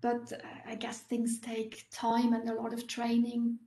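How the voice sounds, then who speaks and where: A woman's voice: a little high, completely flat delivery, measured pace, dark tone, echoey room. A woman professor answering audience questions at a university talk, explaining thoughtfully with frequent fillers and restarts.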